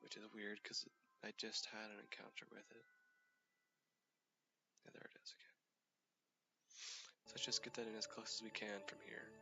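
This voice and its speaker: Young guy w/ a cold, kinda low stuffy voice, lots of uhs, game music going behind him. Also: completely flat delivery, normal speed, creaky, long pauses, bright, forceful tone, in a whisper. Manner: rambling, hesitant, many fillers. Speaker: gaming channel host. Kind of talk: casual gameplay commentary.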